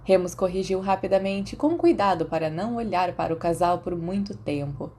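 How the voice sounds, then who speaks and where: A woman's voice: fairly low pitch, lively intonation, moderate pace, a little mellow, a little hiss or hum. A woman with a Brazilian accent reading scripted fiction as an audiobook narrator.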